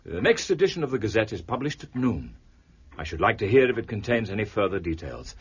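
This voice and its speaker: An actor playing a somber, aloof gentleman detective, speaking in a measured, erudite, dryly detached way in a period mystery drama. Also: lowish voice, very animated, slightly rough, lo-fi sound, full character voice. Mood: detached, intrigued.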